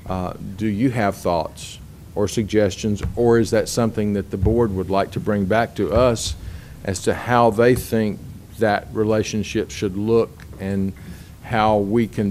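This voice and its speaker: A man chairing a county government meeting, speaking haltingly with frequent restarts and fillers. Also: fairly low pitch, fairly even intonation, darkish tone, a little hiss or hum. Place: county board meeting room.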